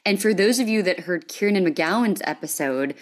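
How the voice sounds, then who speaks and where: Enthusiastic American woman, slightly low voice. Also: lively intonation, normal speed. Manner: enthusiastic, appreciative. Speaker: podcast host. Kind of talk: casual podcast chat.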